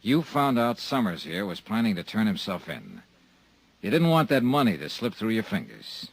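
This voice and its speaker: A man's voice: lowish voice, very animated, normal speed, gravelly, husky, heavily acted. An actor playing a dry, wry, streetwise male insurance investigator in a 1950s radio drama. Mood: sharp. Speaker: lead investigator.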